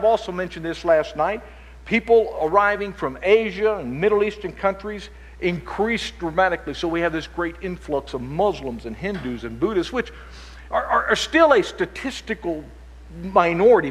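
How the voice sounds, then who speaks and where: Husky-voiced man lecturing in a reverberant hall. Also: a little high. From Chile. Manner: stammers and repeats words as he restarts. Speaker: conference speaker. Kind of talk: lecture-style conference talk. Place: conference hall.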